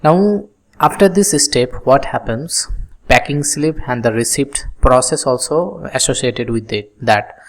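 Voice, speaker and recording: An instructor explaining a business process step by step in a tutorial style, with halting phrasing and simple examples. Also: medium pitch, lively intonation.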